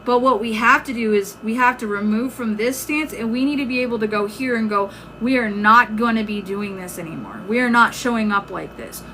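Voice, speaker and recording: Female live-stream self-help host, higher-pitched, losing her voice, conversational and emphatic, over noticeable background noise. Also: a little fast, hardly any pauses, a bit bright. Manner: conversational, emphatic, checks in with "right?". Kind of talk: informal motivational live-stream talk. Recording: clearly noisy background.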